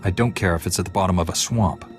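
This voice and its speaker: An actor playing a confident, direct and helpful knightly hero in a sci-fi video game. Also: male voice, low-pitched, quick pace, faint music behind, lightly acted. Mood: resolute. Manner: direct, resolute. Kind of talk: video game dialogue acting.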